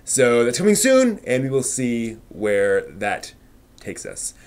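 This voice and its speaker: A man's voice: mid-range voice, wildly swooping pitch, slow pace, darkish tone. Man hosting an informal news stream. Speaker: host of an anime news live stream. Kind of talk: informal news presenting with asides.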